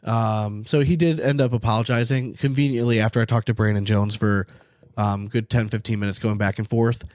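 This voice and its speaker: A man's voice: on the low side, fairly even intonation, brisk, a little mellow, no room sound, clean studio sound. A man hosting a podcast in casual, informal chat, speaking emphatically. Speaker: podcast host.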